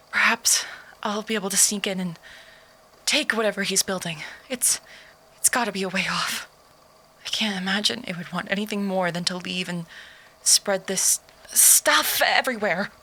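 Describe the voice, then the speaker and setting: A bright, forceful female voice, acted by a woman for an audio drama. She is a watchful, determined spy muttering her plans to herself, with sighs in her delivery. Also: medium pitch, a little slow, pauses often. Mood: wary, resolute.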